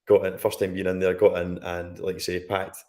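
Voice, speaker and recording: A low-voiced Scottish man who is a guest on a film podcast. He tells his story conversationally and drifts into side remarks along the way. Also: completely flat delivery, fairly quick, darkish tone. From Scotland. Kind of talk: casual chat on a live stream.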